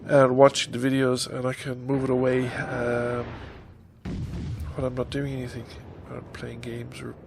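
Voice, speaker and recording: Stuffy-nosed man with a creaky voice. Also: mid-range voice, completely flat delivery, a little slow, noisy background. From Ireland. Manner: rambling, hesitant, many ums. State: stuffy nose from a head cold. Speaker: YouTuber. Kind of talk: casual vlog update. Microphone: desk microphone on a stand.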